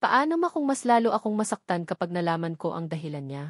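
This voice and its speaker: A woman's voice: fairly low pitch, big pitch swings, fast talker, no echo. Woman narrator as heartbroken young wife, plaintive, romance audiobook, dry recording. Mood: anguished, anxious. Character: heartbroken young wife missing her husband. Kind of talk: dramatised novel reading.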